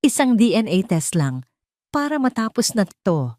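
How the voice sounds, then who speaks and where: A woman's voice: medium pitch, sing-song, moderate pace, a little mellow, dry recording, clean studio sound, pitched above the performer's own voice, close to an everyday voice. Defiant Filipino single mother played by a narrator. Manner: challenging, firm.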